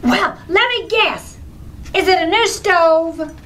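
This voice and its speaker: Very high, bright, sassy wife played by a woman. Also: slow pace, a little hiss or hum, exaggerated voice. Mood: eager, gushing. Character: excitable, wisecracking wife and neighbour friend. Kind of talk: old-time radio comedy acting.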